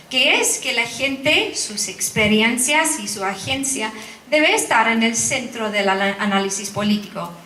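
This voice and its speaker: A woman reads a conference paper in a careful, measured way, her showing through. Her voice sits fairly high, and she sounds far from the mic. A large hall echoes around her. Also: pushed and bright, slight background noise. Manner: careful, measured reading with hesitations. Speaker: conference speaker. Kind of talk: academic talk read from a script.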